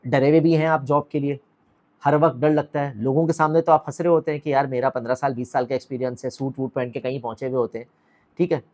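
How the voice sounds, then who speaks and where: A man's voice: mid-range voice, steady tone, quick pace, darkish tone. A middle-aged man speaks straight to camera as the founder of an academy. He urges the viewer on and keeps putting questions to them in a direct, motivational way. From Pakistan.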